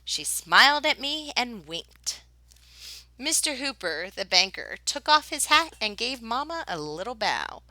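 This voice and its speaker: A woman reading a children's picture book aloud in an expressive, dramatic storytelling style. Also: a little high, unhurried, dry recording. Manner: dramatic, with whispered suspense and excited exclamations.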